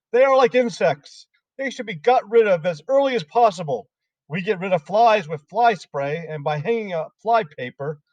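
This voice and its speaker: A man's voice: sits high, no room sound, voice pushed up. A man doing a bellowing furious headmistress. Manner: shouting insults. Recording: dull lo-fi sound.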